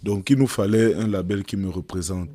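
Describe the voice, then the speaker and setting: A young man from Cameroon, a musician guest, chatting casually in an interview with a deep voice and a reflective manner. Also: brisk, dark tone. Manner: switches between French and English, reflective.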